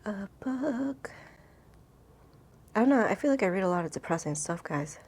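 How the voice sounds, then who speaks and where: A woman's voice: mid-range voice, expressive, normal speed, a little mellow, husky. A woman chatting casually as a book vlogger, thinking aloud informally and interrupting herself as she goes.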